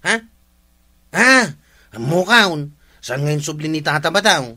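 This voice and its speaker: Comedy radio sidekick: Ilocano, insistent and pushy, sharp tone sitting on the high side. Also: male voice, expressive, slow pace, exaggerated voice.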